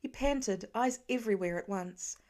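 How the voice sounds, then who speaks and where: A woman's voice: mid-range voice, normal speed, a little mellow. A woman reading fiction aloud as a scripted narration, in the style of an amateur audiobook.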